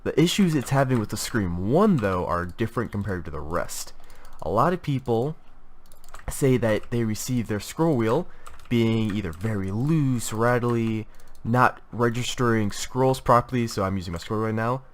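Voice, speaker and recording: A man's voice: on the low side, animated, normal speed. A young man with a stuffy voice from a cold, reviewing gaming gear in a casual, rambling way with many fillers and restarts. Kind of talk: casual product review talk.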